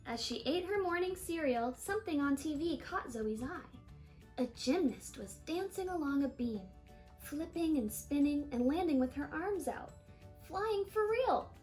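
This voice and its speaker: A woman reading a children's picture book aloud in an expressive storytelling manner, stretching key words. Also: high voice, unhurried, faint music behind. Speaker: storybook reader.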